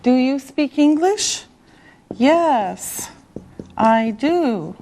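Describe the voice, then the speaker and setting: A woman teaching English to beginners, enunciating carefully and deliberately in a scripted lesson. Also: high-pitched, lively intonation, warm tone, a little hiss or hum.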